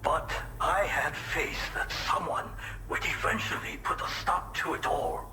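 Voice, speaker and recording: An actor playing an earnest, grateful pizza chef in a video game cutscene, explaining things in a measured way. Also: male voice, fairly high pitch, roomy sound, background noise, close to an everyday voice. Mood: relieved, grateful.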